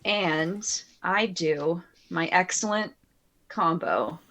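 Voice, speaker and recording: A woman's voice: lowish voice, very slow, fairly bright tone, rough recording. A woman playing a tabletop game, talking casually and playfully as she describes what her character does. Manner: playful, jokey. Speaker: player.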